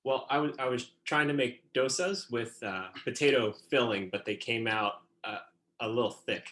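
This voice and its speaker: A man's voice: medium pitch, normal speed, a bit bright, close to an everyday voice. A man playing a nervous, eager-to-please young boyfriend in a staged reading, hesitant, stammering and apologetic. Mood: tentative, eager. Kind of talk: staged reading over video call.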